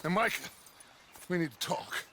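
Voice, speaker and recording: A man's voice: high-pitched, lively intonation, bright, forceful tone, slight background noise. A man playing a proud, aging American outlaw gang leader in a video game drama, with a grandiose, theatrical way of talking. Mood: scheming. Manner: theatrical, grandiose, measured drawl.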